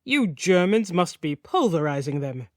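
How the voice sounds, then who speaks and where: A woman's voice: on the low side, big pitch swings, a little slow, dry recording. A genial Scandinavian-sounding king of an audiobook saga, put on by a woman narrator. He is chatty and teasing with a casual menace and a Nordic accent. The sound is dry and close. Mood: effusive.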